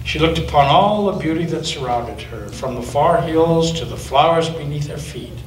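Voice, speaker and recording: A male pastor reading a children's story aloud in a church, with expressive storytelling and slow emphasis. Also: on the high side, slight background noise. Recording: echoey church from a distance.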